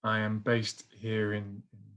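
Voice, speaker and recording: A man with a British accent and a fairly low voice gives a recorded presentation as a programme coordinator. His phrasing is informal and a little hesitant. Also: completely flat delivery, takes it slowly, fairly bright tone. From United Kingdom.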